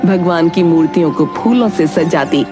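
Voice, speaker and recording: A woman's voice: on the low side, normal speed, over music. A woman narrating a scripted moral story for children as a storyteller.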